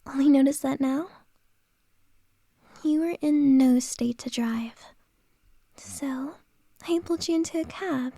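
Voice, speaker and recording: A playful, flirtatious boss lady teases softly in a breathy whisper, as a woman acts her out in ASMR. Her voice sits high but mellow, drawn out slowly. It sounds dry and close to the mic. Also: fairly even intonation, dry recording, full character voice. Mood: teasing. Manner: soft unvoiced whisper, teasing. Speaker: ASMR roleplay performer.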